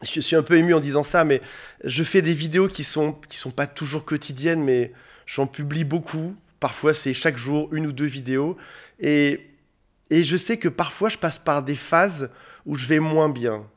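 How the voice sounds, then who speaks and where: A man in his forties with a fairly high voice, a little hoarse from a cold. He talks straight to the camera as a coach, earnest and direct, and addresses the viewer informally. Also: normal speed. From France. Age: 40s. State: has a cold, slightly hoarse voice. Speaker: relationship coach. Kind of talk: coaching video monologue. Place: indoor studio under bright lights. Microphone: facing a camera.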